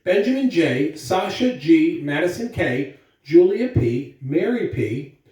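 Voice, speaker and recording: A man's voice: a little high, very animated, slow pace, darkish tone. A man giving morning announcements as a school administrator, speaking to young children in an encouraging, emphatic way. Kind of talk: upbeat school announcements and read-aloud to children. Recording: very echoey room, distant mic.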